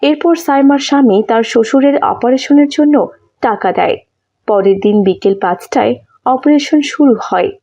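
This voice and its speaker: A woman's voice: high voice, moderate pace, dark tone. A woman giving scripted story narration as the narrator of a moral tale.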